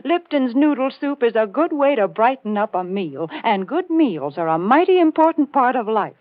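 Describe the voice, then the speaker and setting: An American woman makes a folksy sponsor's pitch on old-time radio. Her voice is fairly high and lilting, chatty and cheery, on a dry, tinny vintage recording. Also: very animated, normal speed, dry recording. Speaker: sponsor's announcer on a radio show. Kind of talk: 1940s radio commercial pitch.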